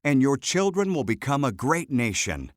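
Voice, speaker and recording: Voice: medium pitch, very animated, normal speed, no room sound, clean studio sound, lightly acted. A narrator voicing God as a solemn, commanding creator in a storybook tale. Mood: reassuring. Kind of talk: storybook character voice.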